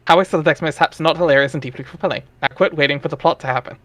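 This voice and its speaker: A man's voice: a little high, rapid-fire, fairly bright tone, dry recording. Wry man narrating, dry close sound. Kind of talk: amateur dramatic reading of a webcomic with casual asides.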